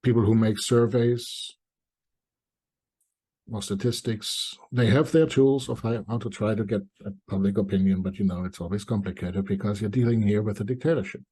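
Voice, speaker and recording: A middle-aged man from East Germany, giving reflective spoken commentary to viewers in deliberate short phrases with pauses. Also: on the low side, dark tone, breathy edge. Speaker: commentator presenting a video essay.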